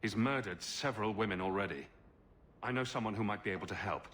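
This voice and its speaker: A man's voice: lowish voice, fast, fairly bright tone, a little hiss or hum, natural voice. A man voicing a wry, self-assured adventurer in a video game, with a dry, teasing manner. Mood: concerned.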